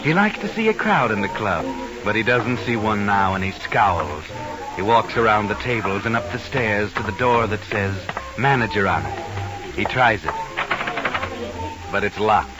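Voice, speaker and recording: Guy acting as creepy all-knowing radio mystery narrator, low ominous voice, loud music under it, old broadcast. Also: lively intonation, normal speed, natural voice, low-quality recording. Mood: brooding, knowing. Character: sinister all-knowing male narrator who walks by night.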